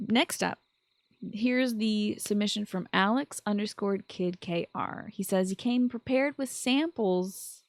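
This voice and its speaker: A woman presents a scripted solo podcast in a medium-pitched voice. She is expressive and slips in enthusiastic asides. The sound is dry, with little trace of room. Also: dry recording. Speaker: podcast host. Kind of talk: scripted solo podcast commentary on video games.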